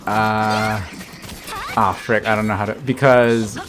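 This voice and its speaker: A man's voice: on the low side, level tone, takes it slowly, fairly bright tone, soft background music. An American man in his thirties, chatting casually while streaming a game and answering his chat, laughing often. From United States. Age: 30s. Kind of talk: casual live-stream commentary.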